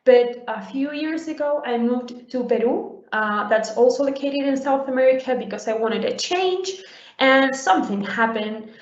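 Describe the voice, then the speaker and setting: A woman's voice: high voice, lively intonation, normal speed. A young adult woman from Uruguay giving a conversational conference talk, with many fillers and restarts. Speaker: conference speaker. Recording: roomy, dull lo-fi sound.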